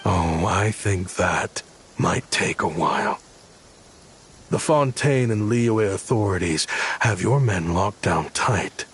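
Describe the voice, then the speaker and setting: Vengeful ex-partner, low voice, very slow, quietly menacing, game cutscene, faint music behind. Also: male voice, animated, slight background noise. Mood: cold taunting. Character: vengeful male ex-partner, cold and patient.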